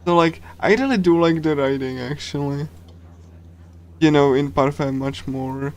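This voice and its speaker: A man streaming a game, chatting casually and reading along in a rambling, halting way with repeated phrases. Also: a little high, darkish tone. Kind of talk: casual livestream chat while reading and translating a game.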